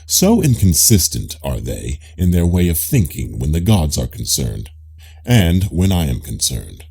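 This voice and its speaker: A man playing a gravelly, self-assured Greek zealot. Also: very deep voice, huge pitch swings, unhurried, darkish tone, pitched below the performer's own voice, full character voice. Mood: self-assured, insistent. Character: self-assured, pious Greek man, eager to prove himself. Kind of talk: dramatised audiobook reading of philosophical dialogue.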